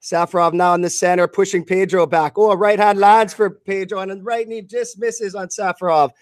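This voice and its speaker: A man's voice: sits high, fairly even intonation, a little fast, no echo, clean studio sound. A man doing live blow-by-blow radio commentary on a fight in short bursts.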